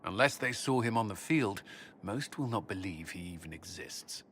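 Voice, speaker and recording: An actor playing a stern, pragmatic military commander in a fantasy video game cutscene. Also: male voice, lowish voice, animated, normal speed, a bit bright, a little hiss or hum. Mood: grim, firm.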